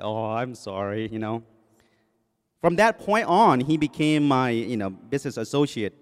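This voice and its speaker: A man's voice: mid-range voice, clean tone. Thai-accented man preaching in a reverberant hall. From Thailand. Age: 40s. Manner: self-deprecating, joking, with many fillers. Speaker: guest preacher. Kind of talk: sermon introduction. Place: church sanctuary. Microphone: podium microphone. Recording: long reverb of a church hall.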